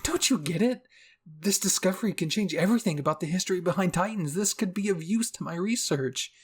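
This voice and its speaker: A man's voice: high-pitched, animated, fairly quick, husky, pitched above the performer's own voice. A man voicing a gleeful, eccentric woman commander and scientist in an anime fan fiction reading, gushing and eager. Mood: excited.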